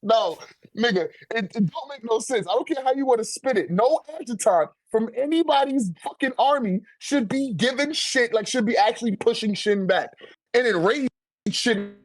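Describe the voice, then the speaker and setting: A man chatting casually in an animated, slangy manner with heavy swearing, as a guest in a friendly debate. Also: very high voice, moderate pace, rough recording. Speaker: guest debating a manga tier list. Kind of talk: casual chat.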